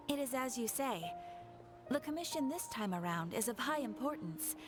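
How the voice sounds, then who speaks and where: A woman voicing a serious, formal commission giver in a video game, measured and earnest. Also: on the high side, animated. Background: game music.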